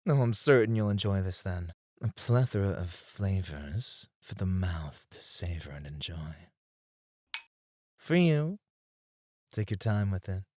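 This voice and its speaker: A man playing a suave, flirtatious male escort in an ASMR roleplay, teasing and courteous, with drawn-out phrasing. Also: low voice, darkish tone, dry recording, exaggerated voice. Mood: smooth, businesslike teasing. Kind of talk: ASMR roleplay, addressed to one listener.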